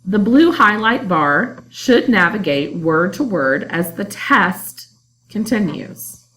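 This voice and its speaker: Teacher lady walking thru test software, talks slow, lively pitch, calm. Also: slight background noise, low-quality recording. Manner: calm, explanatory. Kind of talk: instructional screencast narration.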